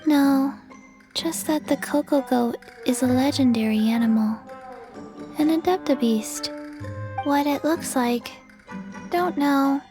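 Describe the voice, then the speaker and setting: A woman voicing a small, solemn, forgetful girl in a video game, with a deadpan, halting, clipped delivery. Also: high-pitched, music in the background. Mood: blank, matter-of-fact. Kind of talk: video game character acting.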